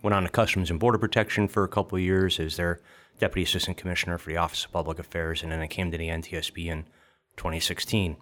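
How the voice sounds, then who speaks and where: A middle-aged man with a low voice gives his background in a steady, orderly way. A few fillers break up his sentences, but he stays composed. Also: racing. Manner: steady, organized, with occasional fillers. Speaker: media relations chief, podcast guest. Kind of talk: casual podcast chat.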